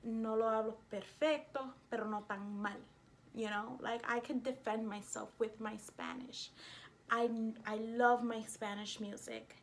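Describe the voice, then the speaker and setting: A vlogger with a New York accent speaks straight to her camera, emphatic and openly indignant, her voice sitting a little on the high side. Her delivery is casual and expressive. A faint hiss of background noise runs underneath. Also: a little slow. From United States.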